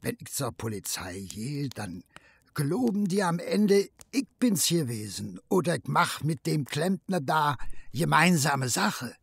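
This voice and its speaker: An actor playing an anxious, simple working man in a radio drama, raspy, colloquial and deferential. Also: fairly high pitch, sing-song, measured pace, fairly bright tone, dry recording, full character voice. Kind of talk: radio drama acting in German.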